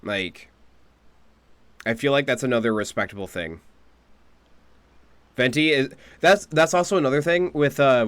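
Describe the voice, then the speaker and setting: A man's voice: mid-range voice, a bit bright, no echo. A man giving casual, conversational playthrough commentary as a game streamer.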